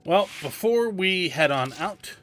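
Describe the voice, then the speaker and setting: A man's voice: sits high, lively intonation, slow pace, fairly bright tone, slight background noise. A man hosting a video game guide, explaining things informally as he plays. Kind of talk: casual tutorial commentary over gameplay. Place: indoors, gameplay voice-over recording.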